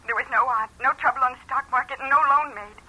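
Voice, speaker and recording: A woman's voice: sits high, quick pace, sharp tone, exaggerated voice, low-quality recording. A woman playing a cold, tense young female client in a 1950s radio detective drama. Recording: vintage radio, telephone conversation.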